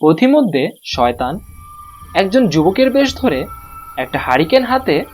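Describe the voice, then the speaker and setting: A man's voice: high-pitched, big pitch swings, unhurried, soft background music. A man narrating a scripted cartoon story as the storyteller.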